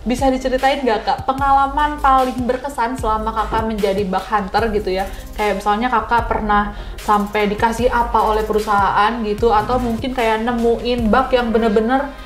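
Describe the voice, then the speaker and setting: A woman's voice: on the high side, flat delivery, moderate pace. A woman with a Jakarta Indonesian accent hosting a casual interview in a chatty, informal way, often seeking agreement. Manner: chatty, informal, frequently echoes answers back and seeks agreement. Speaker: podcast interviewer. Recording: roomy echo, music underneath. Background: music.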